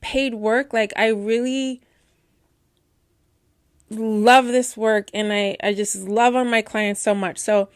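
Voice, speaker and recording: A middle-aged woman doula who stutters, giving candid, warm advice to new doulas in a casual talk with playful asides. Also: on the high side, steady tone, takes it slowly, long pauses, clean tone, no echo, clean studio sound. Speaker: doula and course teacher.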